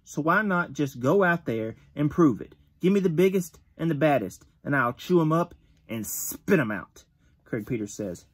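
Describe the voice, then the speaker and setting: A man in his thirties reading a wrestling magazine interview aloud at home, gruff and tough-talking, with the odd stumble, recorded on a phone camera at close range. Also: on the high side, animated, moderate pace. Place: bedroom with shelves of collectibles.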